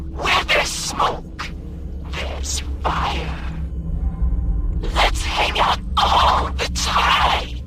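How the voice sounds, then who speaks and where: A woman playing a menacing, fiery jack-o'-lantern animatronic monster in a horror game, taunting in a slow, breathy voice. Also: high-pitched, very echoey, full character voice. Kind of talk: scripted horror video game villain lines.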